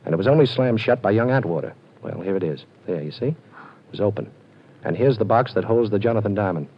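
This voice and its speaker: A man's voice: on the low side, animated, fast, slightly rough. A man playing a cocky, wisecracking ex-con detective in an old radio drama, teasing and sarcastic. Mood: brisk. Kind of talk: 1940s radio acting. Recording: dull lo-fi old radio recording.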